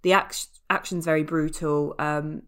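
A woman's voice: low voice, fairly even intonation, a little slow, smooth. A woman hosting a casual film podcast, chatty and opinionated, often correcting herself as she talks. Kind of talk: casual film review chat.

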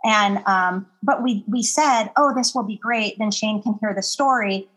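A woman co-host with a husky, slightly breathy voice. She talks earnestly and rambles a bit, working her way through what she means with plenty of ums. Her pitch sits in a middle range for a woman. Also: measured pace, a bit bright, poor audio. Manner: earnest, rambling, with many fillers. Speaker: podcast co-host. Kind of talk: interview conversation.

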